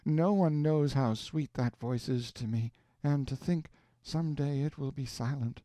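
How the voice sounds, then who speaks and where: A man voicing a gravely ill mother who speaks tenderly and wistfully of her daughter. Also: medium pitch, unhurried, mellow, no echo, studio quality, pitched above the performer's own voice, lightly acted.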